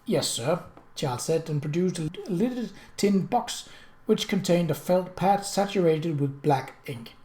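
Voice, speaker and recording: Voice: medium pitch, measured pace, warm tone. A narrator reading a period mystery novel aloud as a scripted audiobook. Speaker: audiobook reader.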